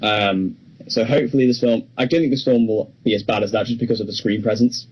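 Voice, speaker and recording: A man's voice: on the low side, fairly even intonation, quick pace, a little mellow, husky, poor audio. A young man co-hosting a podcast, talking casually and chattily about films with firm opinions. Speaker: podcast co-host. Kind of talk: casual film discussion.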